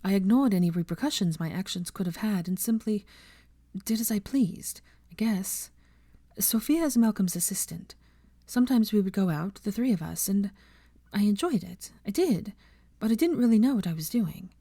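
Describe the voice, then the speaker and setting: A woman's voice: medium pitch, expressive, moderate pace, dark tone, dry recording, studio quality, voice pushed up. A woman playing an earnest, principled and easily flustered young woman in a storybook reading. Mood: anxious, confiding. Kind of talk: dramatised audiobook character dialogue.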